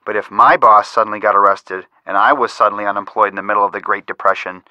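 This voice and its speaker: A man's voice: lowish voice, steady tone, fast, bright, forceful tone, no echo, dull audio. A young man with an American accent voicing an upbeat amateur detective who gives wry, helpful hints in a video game. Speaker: detective helper giving hints. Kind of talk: scripted video game voice acting.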